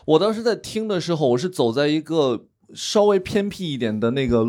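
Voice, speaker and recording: A man's voice: on the high side, expressive, brisk, a little mellow. A man chatting casually on a podcast, telling a story in a rambling, hesitant way with many restarts. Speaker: podcast guest. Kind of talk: casual chat.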